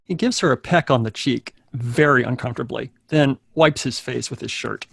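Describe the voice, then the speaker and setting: A man's voice: mid-range voice, moderate pace, creaky, slightly rough, no echo, studio quality. A man acting as narrator, reading scripted stage directions for a table read.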